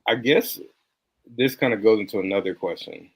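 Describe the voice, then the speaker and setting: Deep-voiced man asking measured questions. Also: breathy edge, dry recording. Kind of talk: interviewing guests in casual chat.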